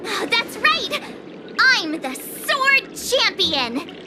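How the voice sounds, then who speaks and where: A woman's voice: very high voice, sing-song, very slow, heavy background noise, exaggerated voice. A woman voicing an eager, perky teenage girl sword apprentice in a video game, earnest, boastful and easily flustered. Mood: defiant. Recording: music under the dialogue.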